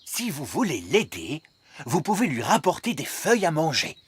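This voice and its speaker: A man's voice: fairly high pitch, big pitch swings, normal speed, husky, no echo, exaggerated voice. Swooping, pompous fairy king dad. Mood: reassuring, explanatory. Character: fairy king father, genial, pompous, slightly muddled adult male. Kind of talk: children's cartoon dubbing.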